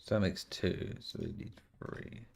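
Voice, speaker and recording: A man's voice: sits low, a little slow, creaky, darkish tone, slight background noise. A man streaming a survival game, chatting to viewers and thinking aloud as he plays, sounding a bit nasal and sniffly from a cold. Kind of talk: casual gameplay commentary.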